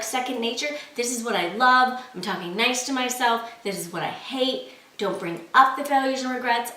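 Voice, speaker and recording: A woman's voice: fairly high pitch, a bit bright. A woman hosting a motivational vlog, talking casually and emphatically to viewers with frequent conversational check-ins. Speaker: host of a self-help motivational vlog. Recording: echoey, noisy room, distant mic.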